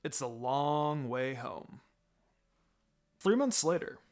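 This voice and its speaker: A man narrates a fan-written story aloud in the first person. His voice sits a little high and moves up and down a lot, giving the reading an expressive, animated feel. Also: slow. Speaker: fan fiction reader.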